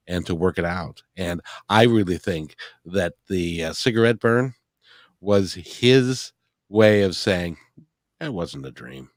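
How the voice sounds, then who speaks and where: Host, male, very low pitch; earnest and firm, reassuring tone, dry close sound. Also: dry recording. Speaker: interview host. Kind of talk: casual interview chat.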